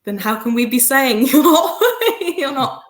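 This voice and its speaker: A woman with a London accent, an articulate and expressive podcast guest in casual conversation who laughs often. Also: high voice, unhurried, reverberant. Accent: London English. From London, England. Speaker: podcast guest, theatre maker.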